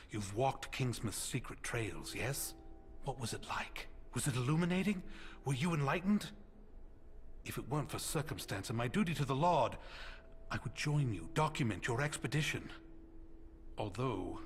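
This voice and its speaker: An actor playing an eccentric preacher and eager amateur conspiracy buff in a video game, with florid, sermonizing phrasing and halting hesitations. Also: male voice, medium pitch, slight background noise, close to an everyday voice. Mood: eager, probing. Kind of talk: video game cutscene monologue. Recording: music under the voice. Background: background music.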